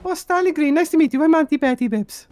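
Brash, sweary high-voiced woman. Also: lively intonation, fast talker, a little mellow, dry recording. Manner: brash, sweary, flirty, with pet names. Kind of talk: improvised comedy banter.

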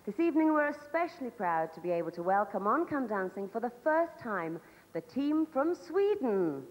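A woman's voice: on the high side, very animated, moderate pace, highs cut off. A woman presenting a ballroom dance show from the stage, introducing the couples on a handheld mic. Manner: poised, welcoming announcer. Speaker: host. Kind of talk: formal presenting. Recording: live ballroom broadcast with reverb. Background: loud crowd and room noise.

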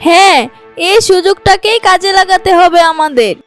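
A woman's voice: extremely high pitch, normal speed, soft background music. A woman voicing a wicked, imperious, murderous queen in a cartoon fairy tale. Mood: stern.

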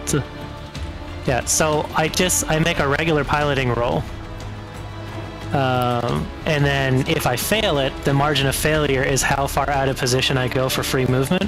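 A man's voice: medium pitch, fairly even intonation, moderate pace. A man talking casually through moves and rules as a player in a tabletop game. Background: music.

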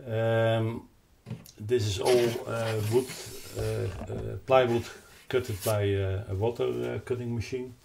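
An older man with a Dutch accent explains a product off the cuff, haltingly searching for words. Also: lowish voice, little pitch movement, creaky, a little mellow, a little hiss or hum. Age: 60s or older. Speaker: loudspeaker designer presenting his product. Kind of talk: unscripted explanatory product talk. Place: carpeted, wood-panelled listening room indoors.

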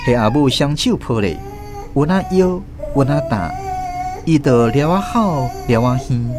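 A man with a Taiwanese accent giving expressive scripted narration as the host of a radio drama. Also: mid-range voice, a little slow, over music.

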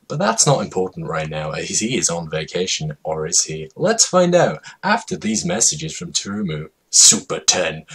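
A man with a husky voice giving a mock-dramatic, emphatic comedic reading of a story as its narrator. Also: low-pitched.

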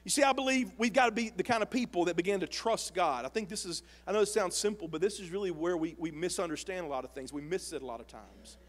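High-voiced pastor preaching in a reverberant church. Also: male voice, quick pace, few pauses. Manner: animated, emphatic preaching, rising to shouts. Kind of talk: sermon. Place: large church sanctuary stage with audience. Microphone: handheld mic. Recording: long hall reverb.

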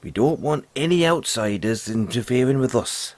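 A man playing a gruff but friendly forest dragon tamer, talking in a folksy way straight to the listener in an audio roleplay. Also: medium pitch, expressive, moderate pace, a little mellow, no room sound, close to an everyday voice. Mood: guarded. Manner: folksy, teasing, calls the listener lad.

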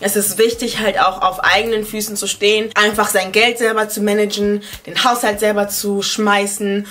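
Chatty young woman vlogger, bright voice. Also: mid-range voice, little pitch movement, moderate pace. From Ghana. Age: 20s. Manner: chatty, switches into playful English asides. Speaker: beauty and lifestyle vlogger. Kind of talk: casual chat to camera. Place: living room at home, indoors.

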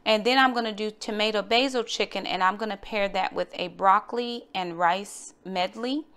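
A woman's voice: mid-range voice, normal speed, fairly bright tone, clear, resonant tone. Female home cooking vlogger, mid-range voice, casual narration to viewers.

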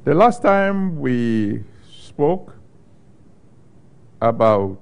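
An older male pastor reads scripture aloud and then preaches, taking his time over every phrase. His delivery is unhurried and measured, with long pauses between short phrases. His voice sits at an ordinary male pitch, and he speaks into a handheld microphone. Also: big pitch swings, vocal fry, clear, resonant tone. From Nigeria. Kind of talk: sermon with scripture reading. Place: studio stage with a large screen behind.

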